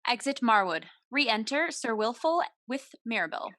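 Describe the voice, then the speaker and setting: High, dry-sounding woman narrator. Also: big pitch swings, normal speed, a bit bright, no echo. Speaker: narrator reading stage directions. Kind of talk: scripted narration.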